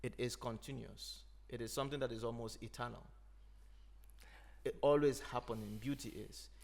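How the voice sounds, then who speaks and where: A man from Nigeria, an Igbo speaker, talking in a thoughtful, unhurried way as a podcast host. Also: mid-range voice, fairly even intonation, vocal fry. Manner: thoughtful, unhurried, frequent "you know". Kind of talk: reflective conversation.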